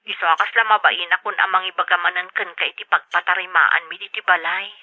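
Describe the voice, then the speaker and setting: A woman's voice: on the low side, fairly even intonation, fast talker, no room sound, close to an everyday voice. An actress playing a woman in an Ilocano family drama, heard through a narrow phone-like sound. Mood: apologetic, pleading. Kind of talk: radio drama acting in Ilocano.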